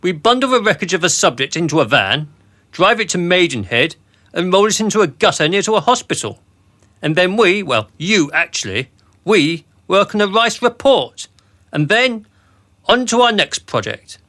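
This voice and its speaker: Smug sneering boss guy played by an actor, radio play, arch and pompous, high voice, very up and down. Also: sing-song, normal speed, bright, forceful tone, exaggerated voice. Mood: mocking. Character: sneering, smug male boss of a sinister operation. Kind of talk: radio drama acting.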